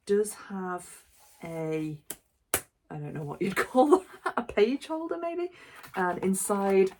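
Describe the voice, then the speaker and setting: A woman's voice: mid-range voice, animated, slow, a little mellow, slight background noise. A woman giving casual unboxing commentary as a book vlogger, gushing and thinking aloud as she goes.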